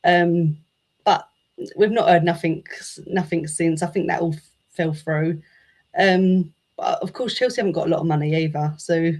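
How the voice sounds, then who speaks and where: A woman guest on a podcast who talks about football in a relaxed, casual way, filling her answers with um and sort of. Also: lowish voice, moderate pace. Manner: relaxed, with many fillers. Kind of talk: casual interview chat.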